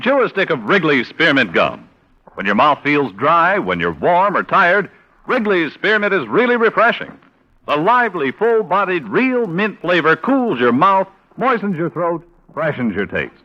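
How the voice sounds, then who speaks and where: A male American announcer from 1950s radio delivers an upbeat, polished sponsor pitch. His voice is bright and very lively in its swings, on a slightly muffled vintage recording. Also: moderate pace.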